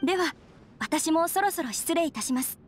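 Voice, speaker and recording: High-voiced, earnest young noblewoman. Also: expressive, moderate pace, a little hiss or hum. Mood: grateful. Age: young adult. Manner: polite, formal, earnest. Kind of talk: Japanese anime game voice acting.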